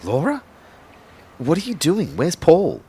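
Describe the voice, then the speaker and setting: A man's voice: on the high side, wildly swooping pitch, slow pace, dark tone, slightly rough, heavily acted. An Australian actor playing Kyle, an anxious, self-doubting man telling his own story in an audio drama. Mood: urgent. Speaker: actor narrating in character. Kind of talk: first-person audio drama narration.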